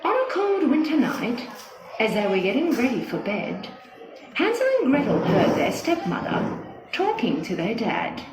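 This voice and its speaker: A woman narrating a scripted children's story in an expressive, dramatic manner. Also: on the high side, measured pace. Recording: echoey with music behind.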